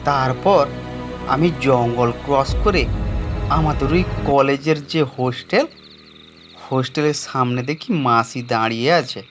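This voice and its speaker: A man playing an anxious young man with a guilty past, telling and acting out an animated horror story in a dramatic, suspenseful manner. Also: mid-range voice, measured pace, soft background music, natural voice. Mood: puzzled. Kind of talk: dramatized first-person horror story narration.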